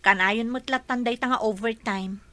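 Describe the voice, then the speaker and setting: Bright, plaintive neglected wife. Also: female voice, a little high, steady tone, normal speed, no room sound. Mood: reproachful. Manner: plaintive, pressing. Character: neglected, suspicious wife and mother, reproachful. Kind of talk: radio drama acting.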